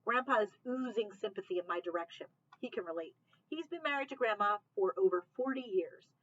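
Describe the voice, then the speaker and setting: A woman giving an expressive read-aloud of a children's novel, narrating the chapter. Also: mid-range voice, fairly quick, highs cut off. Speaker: library read-aloud reader.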